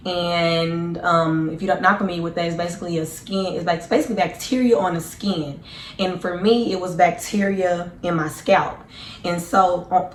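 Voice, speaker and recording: A woman in her twenties with a hoarse, deep, raspy voice, chatting earnestly and casually to her viewers as a vlogger. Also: reverberant, a little hiss or hum. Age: 20s. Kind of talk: casual personal storytelling to camera.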